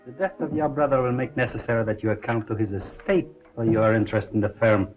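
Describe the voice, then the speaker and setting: An actor playing a cold, calculating male villain in a 1930s crime film, speaking formally and in a measured, menacing way. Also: medium pitch, fairly even intonation, natural voice. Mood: cold menace. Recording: muffled 1930s film soundtrack, faint music.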